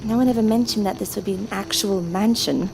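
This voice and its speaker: A woman acting in an audio drama as a caring, sentimental older sister, earnest and expressive with genteel phrasing. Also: mid-range voice, fairly quick, vocal fry, background noise, lightly acted. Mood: fond, teasing.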